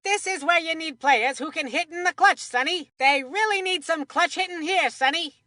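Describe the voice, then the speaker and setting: A woman voicing an excitable, folksy cartoon baseball commentator for a kids' sports video game. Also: very high voice, normal speed, no echo, clean studio sound. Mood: eager commentating.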